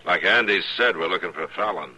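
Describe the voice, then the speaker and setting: An actor playing a stern, steady American frontier marshal in a western radio drama, speaking curtly with authority in a deep, gravelly voice. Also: male voice, brisk. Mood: stern, businesslike. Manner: curt, authoritative. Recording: noisy old radio recording with clicks.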